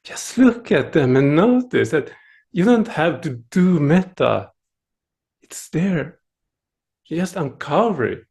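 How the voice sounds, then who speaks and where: Slow, gentle Swedish-accented man. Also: on the high side, sing-song, creaky. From Sweden. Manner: gentle, playful, chuckling often; unhurried, with pauses and searching for words. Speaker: meditation teacher. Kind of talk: dharma talk at an online retreat.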